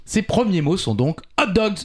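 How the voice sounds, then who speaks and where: A man hosts a playful quiz with a slightly high voice. His pitch moves around a lot as he trades trivia and jokey banter, keeping an animated delivery. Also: moderate pace. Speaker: quiz podcast host.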